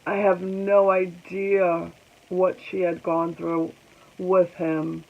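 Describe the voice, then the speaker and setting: A woman in her forties speaking slowly in a personal vlog, congested, halting, and pausing often. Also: lowish voice, level tone, vocal fry, narrow, tinny audio. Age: 40s. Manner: halting, reflective, with many pauses and ums. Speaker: vlogger. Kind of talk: personal vlog talking to viewers.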